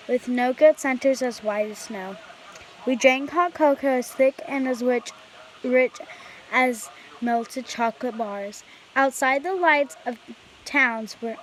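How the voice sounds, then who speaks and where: Female storybook reader, higher-pitched, unhurried and halting, on a slightly noisy amateur home recording. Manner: halting read-aloud with stumbles. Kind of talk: reading a children's picture book aloud.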